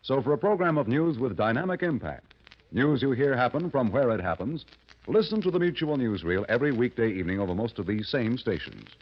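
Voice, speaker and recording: A man's voice: mid-range voice, animated, fairly quick. A man announcing formally and emphatically in scripted 1940s network radio style. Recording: muffled vintage radio broadcast. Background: music.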